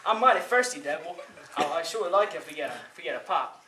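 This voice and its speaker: Guy doing a sly folksy trickster from a folktale, kinda high voice, mock sorry, echoey and noisy. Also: animated, fast talker, natural voice. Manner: folksy, mock-apologetic, bargaining. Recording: roomy, noisy recording from far off.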